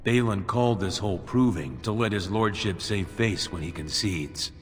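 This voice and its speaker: An actor playing a plain-spoken, wary dwarf warrior in a video game, blunt and measured. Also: male voice, deep voice, lightly acted. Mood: guarded. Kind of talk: video game character acting. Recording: long reverb, music behind.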